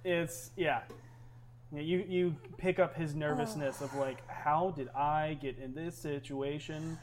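A man's voice: a little high, steady tone, a little slow, over a noisy crowd. A man narrating as game master of a tabletop game, loose and hesitant with many fillers.

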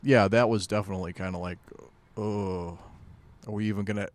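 A man's voice: fairly low pitch, fairly even intonation, fast talker. A man chatting casually as a podcast co-host, understated and laid-back with dry humor. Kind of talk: casual chat.

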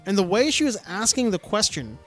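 A young man chatting casually on a podcast, an animated storyteller full of restarts and "like" fillers. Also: high voice, fairly quick, soft background music.

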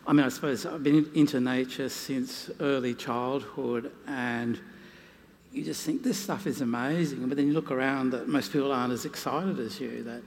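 A man speaking unscripted on a live panel as a naturalist and author, telling anecdotes in an animated, self-deprecating way. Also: medium pitch, normal speed. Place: large indoor hall at a live museum event. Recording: hall reverb, noisy live event.

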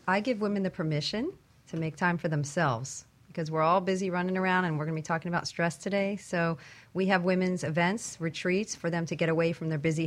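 A woman guest on a talk show, explaining her work in a conversational interview style. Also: low-pitched. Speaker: guest, wellness retreat founder.

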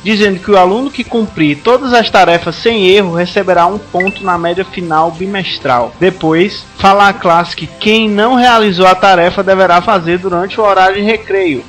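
High-pitched Brazilian man on a podcast. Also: a bit bright. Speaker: podcast panelist, behavior analyst. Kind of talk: casual discussion, reading exam questions aloud.

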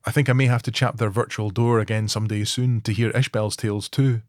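Dry-sounding podcast host. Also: male voice, lowish voice, fairly even intonation, a little fast, darkish tone, no room sound. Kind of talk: podcast intro and interview.

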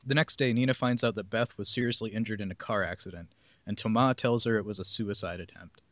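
A man's voice: lowish voice, quick pace, fairly bright tone, no echo. A man hosting a podcast, reading a plot summary aloud with casual asides. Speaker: podcast host reading film synopses.

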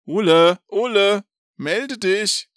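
A man acts out a spiteful young villain from a storybook tale. The voice jumps high and shrill, stretching out mocking calls in a very dry, close recording. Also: takes it slowly, fairly bright tone, dry recording. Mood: probing call.

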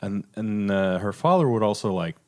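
Low-voiced man discussing film. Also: animated, moderate pace, a little mellow. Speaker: film podcast co-host.

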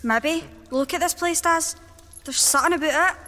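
In a cavernous echo, a very high, bright young Scottish woman in a horror radio drama. She is wary and unimpressed, answering with dry, blunt remarks. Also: normal speed, slight background noise. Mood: uneasy. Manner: dry, curt, deadpan. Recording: long reverb.

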